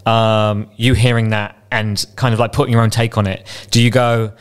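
A man's voice: on the low side, flat delivery, moderate pace, clean tone. A man working as a radio host, asking questions in a casual, enthusiastic and encouraging way. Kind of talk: casual interview chat.

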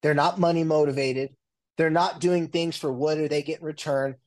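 A man's voice: fairly high pitch, fairly even intonation, normal speed, a little mellow. A man in his forties, a therapist, talking loosely in a casual interview with many fillers and restarts. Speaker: guest, family and marriage therapist.

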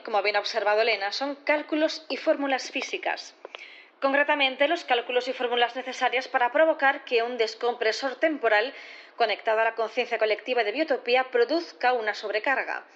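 A woman's voice: on the high side, fairly bright tone, dull audio, natural voice. A woman acting as a composed, probing prosecutor in a sci-fi courtroom audio drama, formal, methodical and insistent. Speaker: actor in audio fiction.

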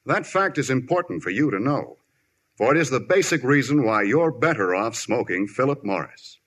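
A man's voice: mid-range voice, a bit bright. An American man announcing in formal, persuasive 1950s radio commercial style, with emphatic phrasing.

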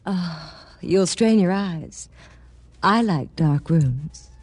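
A woman's voice: fairly low pitch, drawn-out words, loud music behind the voice. An actress playing a coy, uneasy married woman who is a private eye's client in a vintage radio drama. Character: frightened yet flirtatious married woman, femme fatale client. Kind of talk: 1940s-50s radio drama acting.

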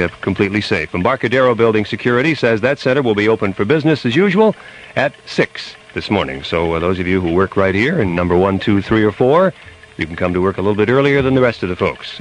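Low-pitched American male radio news anchor. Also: a bit bright, rough recording.

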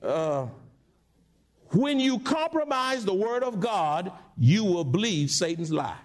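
Animated high-voiced male preacher. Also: takes it slowly. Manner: preaching with sudden high-pitched asides and call-and-response. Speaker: pastor. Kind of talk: sermon. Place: church sanctuary.

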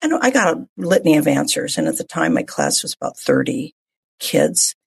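Husky, expressive low-voiced woman hosting. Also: normal speed. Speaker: radio show host.

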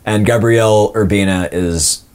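Man, very low voice, young adult, rambling, lots of ums and restarts, casual interview talk. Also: measured pace, a little mellow, smooth. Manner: rambling, many fillers and restarts. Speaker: podcast actor and co-producer.

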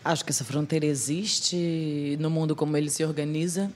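A woman from Brazil, a theatre artist with a Brazilian accent softened by living in Portugal, telling a story in a lively, conversational way. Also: low-pitched, a little fast. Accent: Brazilian Portuguese, softened by life in Portugal.

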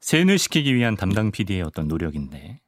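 Man hosting radio, deep but animated. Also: fairly quick, no echo. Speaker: radio show host. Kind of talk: conversational current-affairs radio hosting.

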